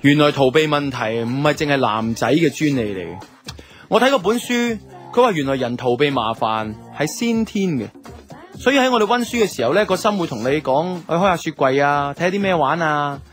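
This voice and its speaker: Man acting a musing young Hong Kong storyteller, lively intonation. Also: a little high, brisk, lightly acted. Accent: Hong Kong Cantonese. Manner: colloquial, reflective storytelling. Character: laid-back young man narrating his love life, musing. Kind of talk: first-person radio drama narration. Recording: music under the voice.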